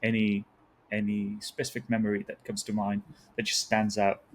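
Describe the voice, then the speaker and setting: A man's voice: fairly low pitch, monotone, moderate pace. A man hosting an interview podcast, speaking in a friendly way and often murmuring agreement. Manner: friendly, frequent affirming murmurs. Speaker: podcast host.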